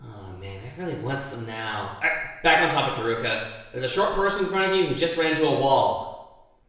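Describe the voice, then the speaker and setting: A man's voice: medium pitch, lively intonation, fairly quick, fairly bright tone, natural voice. A man playing a blunt, grumbling young game contestant, narrating in character in a fan audio drama with informal complaints. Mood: wry, distracted. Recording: very echoey room, faint music.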